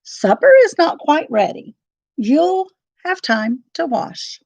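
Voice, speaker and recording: A woman's voice: high-pitched, sing-song, slow, darkish tone, no room sound, voice pushed up, full character voice. A woman voicing a sweet young princess in a children's storybook, earnest and pleading. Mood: kindly.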